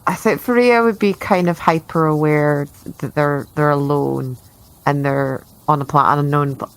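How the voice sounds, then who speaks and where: A woman's voice: low-pitched, normal speed, poor audio. A woman playing a tabletop game, casually describing her character's actions as she makes them up. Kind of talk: casual improvised roleplay narration.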